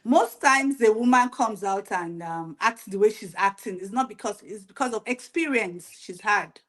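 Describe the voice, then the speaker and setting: A woman's voice: medium pitch, normal speed, a bit bright. A woman, a panelist giving her opinion earnestly in a chat show discussion. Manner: earnest, argumentative.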